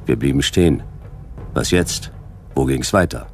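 Laconic sci-fi detective, extremely low voice, creaky, terse, deadpan, wry, radio play. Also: male voice, measured pace, faint music behind. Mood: tense, terse narrating. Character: laconic, hard-boiled male sci-fi detective, wry and world-weary. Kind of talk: radio drama narration and dialogue.

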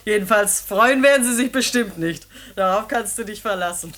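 Woman acting bright-voiced, mocking flirt. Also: mid-range voice, normal speed, close to an everyday voice. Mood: sly, defiant. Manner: teasing, coquettish, mocking. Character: flirtatious, mocking, confident village seductress. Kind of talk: 1950s German radio drama acting. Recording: vintage 1950s radio play recording.

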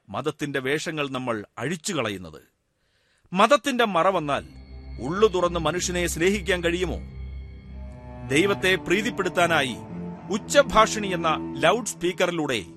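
An actor playing a wry, secular man risen from death, chatting and teasing unseen listeners in a radio drama monologue. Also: high voice. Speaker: monologue performer.

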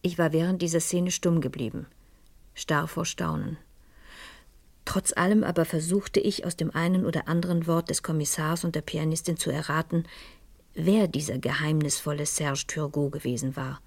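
An actress playing a composed, persuasive French madame who speaks and narrates in a measured way in a radio crime drama. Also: female voice, sits low, fairly even intonation, gravelly. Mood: reflective narrating. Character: composed, persuasive French madame recounting her own mystery.

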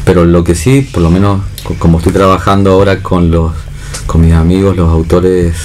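An Argentine man with a very low, dark and relaxed voice shares thoughts in a panel discussion. He feels his way through sentences, often ending with a short questioning tag. There is faint noise behind him. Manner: halting, searching, frequent tag questions. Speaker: theatre director and actor, panel guest. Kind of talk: reflective unscripted panel discussion.

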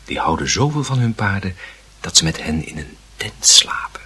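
Coaxing, reassuring father in radio play; bright, forceful edge, animated delivery. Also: sits low, moderate pace, slightly rough, exaggerated voice. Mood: indulgent, amused. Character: indulgent, gently reassuring father of a small boy. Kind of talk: radio drama acting.

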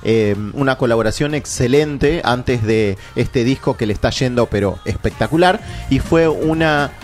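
A man hosting a music radio show, talking in a halting way with many fillers. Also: mid-range voice. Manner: hesitant, many fillers, laughs easily. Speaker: radio host. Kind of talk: casual radio music chat.

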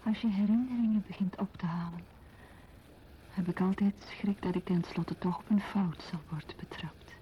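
A woman's voice: mid-range voice, steady tone, moderate pace, natural voice. An actress playing a troubled, introspective wife in a radio drama monologue, confessing her unease. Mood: anxious. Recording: dull radio play, faint noise.

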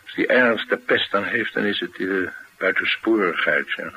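An actor playing a dry, sardonic, reticent former bodyguard troubled by an old head wound, in a Dutch radio drama. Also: male voice, deep voice, normal speed, sharp tone, slightly rough, exaggerated voice, rough recording.

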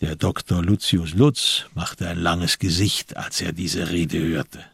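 A man with a gravelly, husky voice reading literary narration for a crime radio play. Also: low voice, steady tone, takes it slowly, no echo, studio quality. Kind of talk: scripted literary narration with reported speech.

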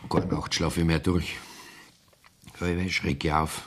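A very deep-voiced Bavarian outlaw speaks with gruff menace. He is defiant and hunted, in the manner of a 1970s radio play, over faint noise. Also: little pitch movement, a little slow. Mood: weary. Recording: noisy radio play recording.

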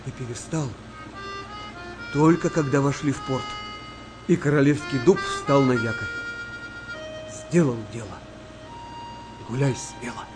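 A man playing a skeptical, well-spoken wartime navy sailor telling tales with measured drama in a Russian radio play. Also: mid-range voice, natural voice, low-quality recording. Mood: suspenseful storytelling. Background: music behind the voice.

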